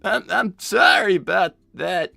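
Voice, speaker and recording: A man's voice: high voice, a little slow, creaky, pushed and bright, dry recording, soft background music, heavily acted. A man voicing an earnest, easily flustered young cartoon fox inventor who stammers and repeats words in improvised live roleplay. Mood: hesitant.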